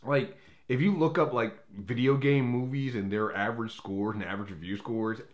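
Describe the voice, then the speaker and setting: A man's voice: mid-range voice, normal speed. A man with a mid-range voice hosts a casual movie review. He talks conversationally and without a script, giving his opinions in a rambling way. He often backtracks and rephrases as he goes. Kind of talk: casual unscripted review commentary.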